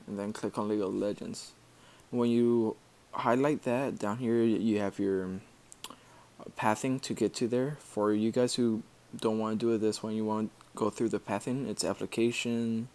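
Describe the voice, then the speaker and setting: A man giving a casual screen-recorded software tutorial, explaining step by step in an informal, halting way. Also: lowish voice. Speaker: tutorial maker.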